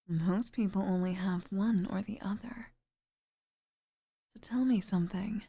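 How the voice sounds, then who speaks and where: A woman playing a sweet, flirtatious middle-aged single mother in an intimate ASMR roleplay, speaking softly and breathily, often near a whisper. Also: medium pitch, gravelly. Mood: tender, curious.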